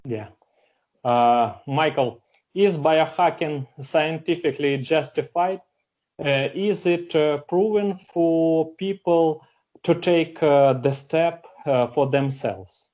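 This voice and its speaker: Slow, hesitant male interviewer, muffled. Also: fairly high pitch, darkish tone. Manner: hesitant, with many "uh" fillers. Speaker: interviewer, host. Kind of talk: interview questions. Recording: slightly dull, muffled sound.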